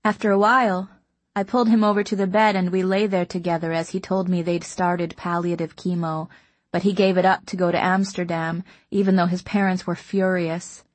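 Soft, breathy woman reading a novel. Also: on the low side, flat delivery, moderate pace, no room sound. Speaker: audiobook narrator. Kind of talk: first-person novel narration.

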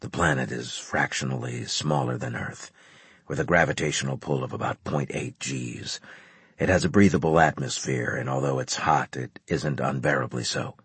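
A man voicing a grim male centurion in an audiobook, terse, grave and deliberate as a commander. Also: a bass voice, fairly bright tone, slightly rough, no echo, voice pushed down, heavily acted. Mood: grave, matter-of-fact. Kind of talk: audiobook character dialogue.

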